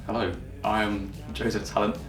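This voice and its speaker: A man's voice: on the low side, little pitch movement, normal speed. A twenty-year-old man, telling a story wryly in an interview. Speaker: band's lead guitarist. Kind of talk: documentary interview. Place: band practice room. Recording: echoey room, far from mic, music behind.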